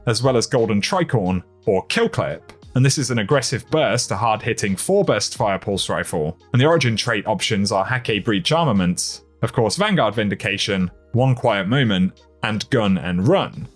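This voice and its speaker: A man narrating video game news as a channel creator, with an upbeat and emphatic delivery. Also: fairly low pitch.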